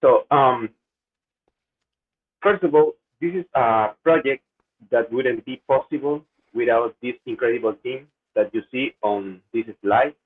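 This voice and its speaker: A man's voice: mid-range voice, measured pace, husky. Ecuadorian man presenting over tinny audio. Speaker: security researcher. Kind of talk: conference presentation. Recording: narrow phone-like audio.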